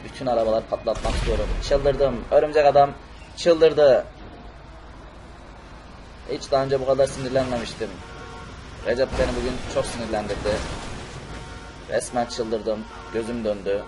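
A man's voice: medium pitch, fairly even intonation, normal speed, long pauses, warm tone, lightly acted. A man voicing an emphatic male cartoon superhero in an amateur role-play over a video game. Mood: raging. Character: angry, unhinged male cartoon superhero on a rampage. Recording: noisy game audio with explosions and vehicles.

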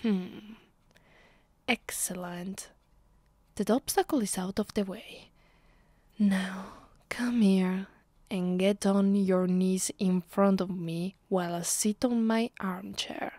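A woman with an Italian accent voicing a haughty, sadistic vampire mistress in a close-miked ASMR roleplay, soft and breathy, often dropping to a whisper, commanding and deliberate. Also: medium pitch, steady tone, no room sound. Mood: teasing, commanding. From Italy. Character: haughty, sadistic female vampire mistress savoring her power. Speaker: voice actress. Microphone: close microphone.

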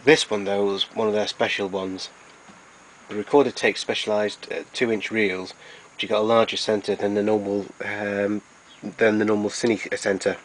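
A man giving an informal, matter-of-fact demonstration as a collector, explaining things in a halting way. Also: on the low side, level tone, slight background noise, poor audio. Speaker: collector presenting his tape recorders. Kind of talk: informal product demonstration narration.

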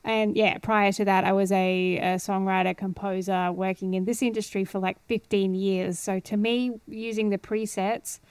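A woman's voice: medium pitch, level tone, normal speed, hardly any pauses, a little mellow, smooth, no echo. A woman, chattily explaining things in a casual screen-share tutorial. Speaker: audio producer giving a tutorial. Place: indoor home studio. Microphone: headphones and close studio microphone.

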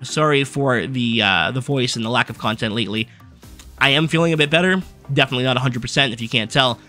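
A young man giving a casual, rambling rundown of his game team from his desk, his voice going hoarse as he is losing it. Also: mid-range voice, bright, forceful tone, soft background music. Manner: fast, rambling card-by-card rundown with fillers. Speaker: gaming YouTuber. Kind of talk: casual gameplay commentary. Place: small room at a desk.

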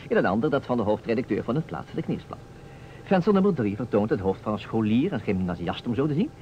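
Actor as a playful theatrical guy setting up a mystery game, very lively pitch, old muffled radio play sound. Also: quick pace, close to an everyday voice. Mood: playfully insistent. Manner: theatrical, teasing, emphatic. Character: playful, insistent man staging a detective puzzle. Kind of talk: Dutch radio play acting. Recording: dull, noisy old radio recording.

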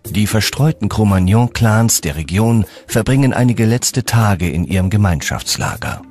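A man's voice: lowish voice, expressive, moderate pace, darkish tone, soft background music. A man reading scripted documentary narration in as the narrator.

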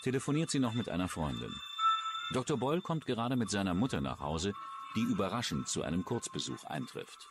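A man's voice: on the low side, big pitch swings, brisk, noisy background. A man reading scripted true-crime documentary narration as the narrator. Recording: music under the voice. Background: music.

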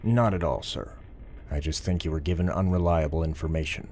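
An actor playing a principled, stubborn police officer who speaks in a measured, firm and formal way in an audio drama. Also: male voice, very deep voice, fairly even intonation, darkish tone, slight background noise. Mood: firm, defensive.

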